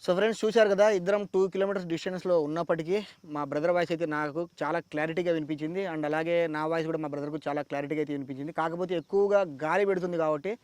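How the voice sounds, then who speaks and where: A man's voice: a little high, darkish tone. A man with a Telugu accent presenting a product review, explaining things step by step to viewers. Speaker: tech reviewer.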